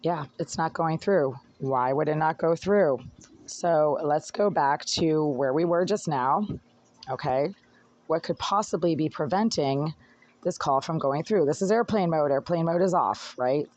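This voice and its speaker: Hoarse, aggrieved tenant ranting. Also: mid-range voice, measured pace, a little mellow, dull audio. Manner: aggrieved, rambling, talks while fiddling with phone. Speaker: tenant filing complaints. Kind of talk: agitated self-recorded complaint monologue. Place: apartment indoors. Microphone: phone held by speaker.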